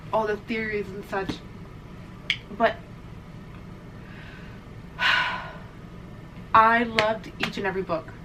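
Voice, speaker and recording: A young woman with a stuffed-up, teary voice rambles to camera, full of "like" and "literally." She sits back from the mic, and a clear hum of room noise runs underneath. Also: medium pitch, far from the mic. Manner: emotional, rambling, swears, says "like" and "literally" often. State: congested, teary. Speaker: reading vlogger. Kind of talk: casual vlog chat to camera.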